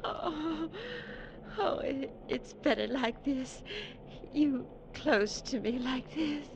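A woman's voice: high-pitched, slow pace, highs cut off. An actress playing a needy, fearful married woman in vintage radio melodrama, with an emotional, pleading delivery. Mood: soothed, intimate. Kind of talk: 1940s-50s melodramatic radio acting. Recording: noisy vintage radio recording.

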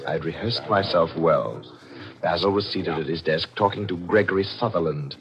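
A man's voice: low-pitched, dull audio, noisy background, lightly acted. An actor playing a cool, calculating murderer who narrates his scheme in an old radio mystery, controlled and confiding. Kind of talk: 1940s radio mystery acting, first-person narration. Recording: old radio drama with music behind.

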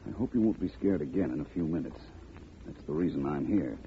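An actor playing a cool, shrewd, commanding gentleman detective in a 1940s radio drama, speaking in a measured, articulate way. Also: extremely low pitch, expressive. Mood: hushed command. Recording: muffled, noisy old radio recording.

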